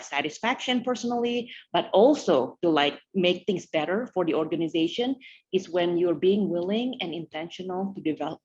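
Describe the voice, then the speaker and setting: A woman's voice: low-pitched, husky, lo-fi sound. A middle-aged woman from Indonesia, a tech leader answering interview questions thoughtfully, with many "you know" fillers.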